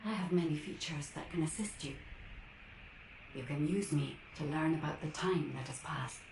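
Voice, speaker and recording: Low, echoing female AI guide. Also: gravelly, a little mellow. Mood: calm, helpful. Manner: measured, formal, instructive. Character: polite, helpful female AI guide assisting a soldier. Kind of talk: scripted video game dialogue. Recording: echoing, faintly noisy game audio.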